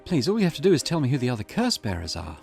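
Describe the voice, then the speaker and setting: Brief: desperate male occult villain, menacing, widely swinging pitch, played by a male gamer, music behind. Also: wildly swooping pitch, very fast, gravelly, darkish tone. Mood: pleading. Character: desperate, menacing male curse bearer seeking resurrection. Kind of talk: visual novel dialogue read aloud.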